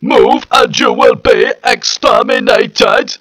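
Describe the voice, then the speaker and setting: A shrieking, hysterical alien war machine. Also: male voice, very high-pitched, slow pace, a bit bright, no room sound, pitched above the performer's own voice, heavily acted. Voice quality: harsh, grating.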